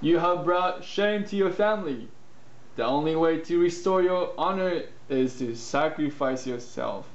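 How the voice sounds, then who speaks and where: A man's voice: fairly high pitch, a little slow, roomy sound, highs cut off. A man voicing a pompous carrot emperor in an amateur puppet show. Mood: commanding. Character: stern, bossy male carrot emperor.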